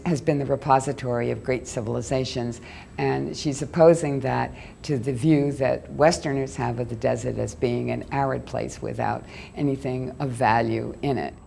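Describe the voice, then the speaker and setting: Curator, female, very low gravelly voice, explanatory tone. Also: mellow, a little hiss or hum. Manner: explanatory, gallery-tour talk. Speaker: exhibition curator. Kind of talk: interview.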